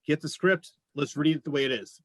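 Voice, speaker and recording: A man's voice: a little high, fairly quick, fairly bright tone, no echo, studio quality. A man hosting a casual, conversational interview, putting questions to his guests. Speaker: show host interviewing guests.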